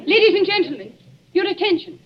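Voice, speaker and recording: A woman's voice: very high voice, sing-song, fairly quick. An actress playing a grand society lady addressing a village concert in a formal, declamatory, very proper manner, in old-time radio drama style. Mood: solemn. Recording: dull, noisy old radio recording.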